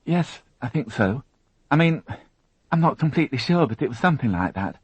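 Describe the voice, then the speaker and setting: A man voicing a frightened young woman who witnessed a crime, tearful and halting, repeating her words as she talks. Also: medium pitch, sing-song, slightly rough, dry recording, pitched above the performer's own voice. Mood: shaken.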